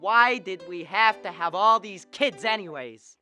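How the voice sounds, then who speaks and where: A woman's voice: mid-range voice, measured pace, bright, forceful tone, soft background music. A young woman playing a stressed, short-tempered husband and father in a radio drama, snapping in exasperation. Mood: irritable. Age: young adult. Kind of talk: school radio drama acting.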